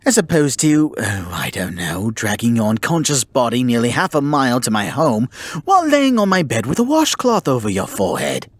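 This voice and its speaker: A man playing a haughty, grudgingly caring male kitsune in an ASMR roleplay, speaking formally and theatrically with a breathy, husky voice. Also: mid-range voice, big pitch swings, moderate pace, dry recording. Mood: sarcastic, exasperated. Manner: formal, theatrical, exasperated scolding. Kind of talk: ASMR roleplay acting, addressing the listener.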